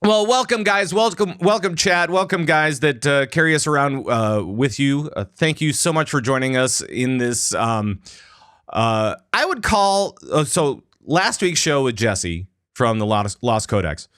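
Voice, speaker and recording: A man's voice: mid-range voice, expressive, measured pace, fairly bright tone, smooth, dry recording, clean studio sound. A young man hosting a casual podcast chat, loose and rambling, full of fillers and restarts. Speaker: podcast host.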